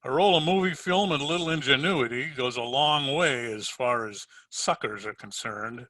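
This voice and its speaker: A man's voice: medium pitch, normal speed, pushed and bright, dry recording. A man playing a dry, wisecracking private detective in an old-time radio drama, narrating his case in hardboiled style. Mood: matter-of-fact. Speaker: amateur radio drama player.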